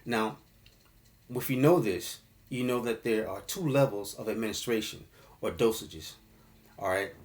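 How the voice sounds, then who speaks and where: A man giving an informal explainer talk about an herbal formula, often checking in with the listener by asking "okay?" and calling the audience fam. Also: medium pitch. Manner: casual, addresses listeners as fam.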